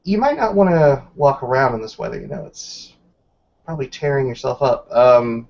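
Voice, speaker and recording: A casual, teasing female packmate of the tabletop game , voiced by a man, talks at a middling pitch. The phrasing is loose and hesitant, and the sound is a bit dull. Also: animated, normal speed, creaky, a little mellow. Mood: hesitant, helpful.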